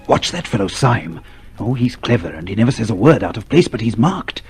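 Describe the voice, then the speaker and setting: An actor playing a wary, cynical inner voice in a radio drama, insistent and pessimistic. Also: male voice, on the low side, sing-song, brisk, gravelly, slightly rough, faint music behind, heavily acted. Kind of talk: old-time radio drama acting.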